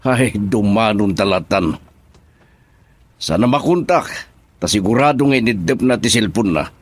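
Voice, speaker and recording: A man's voice: fairly low pitch, expressive, normal speed, natural voice. A man acting a concerned, insistent character in a radio drama. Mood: concerned, urgent.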